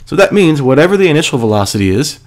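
A man's voice: fairly high pitch, sing-song, very fast. A male physics teacher, stuffy from a cold, walking through a worksheet step by step and answering his own questions. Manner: patient, step-by-step, asks and answers himself. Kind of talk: screencast lesson explaining a worksheet.

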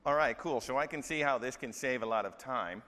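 A man's voice: medium pitch, fairly quick, bright, forceful tone. A man hosting a live product demo on stage, casual and joking, asking leading questions. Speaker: demo host. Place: large stage, hall. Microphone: lapel mic. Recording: reverberant hall.

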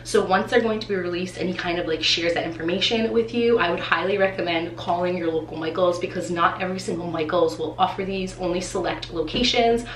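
A woman in her thirties, a teacher from New England, chatting excitedly through an unboxing with a congested, nasal voice from allergies. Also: fairly low pitch, brisk, hardly any pauses. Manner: chatty and excitable, many "like" fillers. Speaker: teacher and vlogger. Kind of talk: casual unboxing vlog. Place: small room indoors. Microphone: camera in front, seated. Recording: echoey room, faint hiss.

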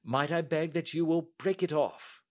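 A man's voice: fairly high pitch, moderate pace, a little mellow, pitched above the performer's own voice, natural voice. A man reading aloud and voicing a middle-aged male detective in a precise, formal, assured manner.

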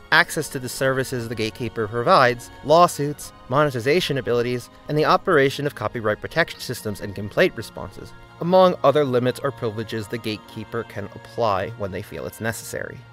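Guy reading his video essay script, steady and deliberate, average pitch, quiet music under it. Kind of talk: scripted narration.

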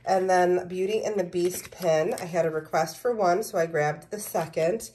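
A woman's voice: fairly low pitch, normal speed, dark tone. A woman recording a casual shopping haul vlog on a phone, enthusiastically showing items one by one and listing their prices. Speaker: personal shopper and pin seller. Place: indoors at a table of merchandise.

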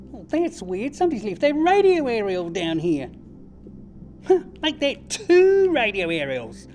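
A woman doing an excitable young striped fish. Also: on the high side, slow pace, long pauses. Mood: excited. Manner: excitable, easily baffled. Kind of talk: children's puppet show.

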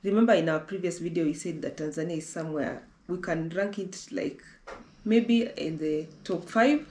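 A woman's voice: on the low side, normal speed, darkish tone. A young woman from Kenya talks through road-trip tips from a living-room sofa. She chats loosely, doubling back and repeating phrases as she goes. The room around her sounds echoey and live. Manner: chatty, repeats herself, restarts often. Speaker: travel vlogger. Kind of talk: casual conversational travel talk.

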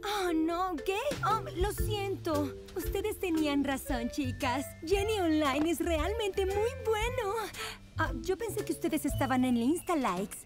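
A bookish teenage cartoon girl, high in pitch and dramatic in every line. She speaks emphatically over loud music. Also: expressive, unhurried. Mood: excited. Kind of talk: cartoon dub acting.

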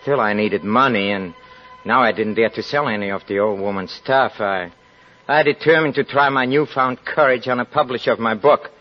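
A proud, impoverished young male writer played by a man in an old radio play, tormented by guilt. His halting, tense speech sits at a middling pitch on a dull, lo-fi vintage recording. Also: natural voice. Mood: brooding, agitated. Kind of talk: old-time radio drama acting.

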